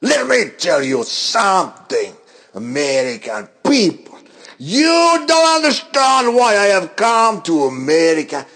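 A man's voice: high-pitched, huge pitch swings, slow, fairly bright tone, exaggerated voice. A man doing a boastful Russian heel wrestler. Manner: put-on Russian accent, broken English, grandiose threats. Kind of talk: mock wrestling promo.

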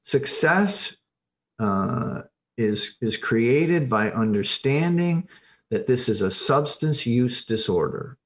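Emphatic, halting middle-aged man explaining. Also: medium pitch, lively intonation. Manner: emphatic, halting and self-correcting, repeats phrases. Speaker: podcast guest, addiction recovery expert. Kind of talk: informal explanatory interview talk.

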